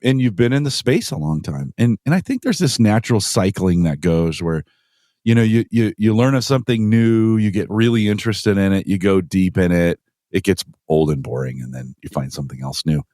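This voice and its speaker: A man co-hosting a podcast in casual chat, his voice deepened by a cold. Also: animated, fairly quick, warm tone, no room sound, studio quality. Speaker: podcast co-host.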